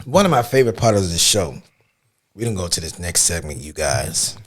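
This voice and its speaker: A man's voice: sits low, very animated, moderate pace. A man hosting a podcast, speaking in a laid-back casual way with hype-man interjections. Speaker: podcast host. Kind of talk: casual chat.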